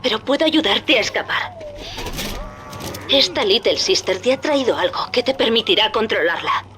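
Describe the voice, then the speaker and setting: A woman playing an earnest, devoted young daughter in a video game, speaking Castilian Spanish in an intimate, solemn, at times breathy way. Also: fairly high pitch, expressive, moderate pace, noisy background, close to an everyday voice. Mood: earnest, reassuring. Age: young adult. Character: earnest, devoted young captive daughter, gentle yet resolute. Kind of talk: dramatic video game voice acting.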